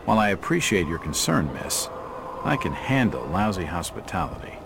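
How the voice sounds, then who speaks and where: An actor playing a wry, persistent hard-boiled detective in a video game, sardonic and probing. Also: male voice, sits low, very animated, moderate pace, creaky, background noise, exaggerated voice.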